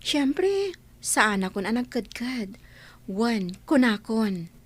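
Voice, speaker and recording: A woman's voice: on the high side, big pitch swings, slow, full character voice. A woman playing an earnest, devoted young mother in a radio-style drama, speaking sincerely with an Ilocano accent. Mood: grateful, happy.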